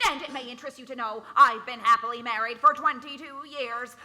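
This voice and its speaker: An actress playing a brusque, nervy, officious personnel examiner, snappish and defensive, in a 1940s-style live radio comedy. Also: female voice, fairly high pitch, steady tone, fairly quick, noisy background, close to an everyday voice. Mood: flustered, angry.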